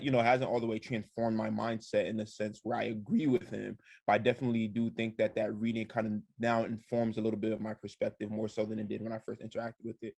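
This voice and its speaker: Young man, lowish voice, flat delivery, dry sound, thoughtful. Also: vocal fry, no room sound. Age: young adult. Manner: deliberate, thoughtful, hesitant fillers. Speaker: program alumnus. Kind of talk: reflective personal testimony.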